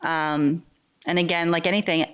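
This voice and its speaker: Chatty woman, a little low-pitched. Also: steady tone, normal speed, a bit bright, clean tone. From New Hampshire. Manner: chatty, many fillers and restarts. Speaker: interview guest. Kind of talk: casual conversation.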